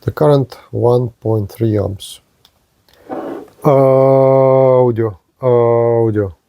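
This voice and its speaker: Male, mid-pitched, unhurried hands-on product reviewer with a halting, plain delivery. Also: fairly even intonation, warm tone, clean tone. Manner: halting, short phrases. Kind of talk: hands-on radio gear demonstration.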